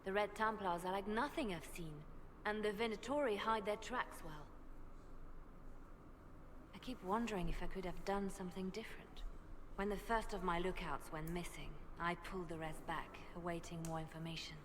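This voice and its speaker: A woman's voice: on the low side, moderate pace, lightly acted. An actress playing a grave, guilt-ridden, hard-edged female spymaster in video game dialogue. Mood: remorseful. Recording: long reverb, faint ambient noise.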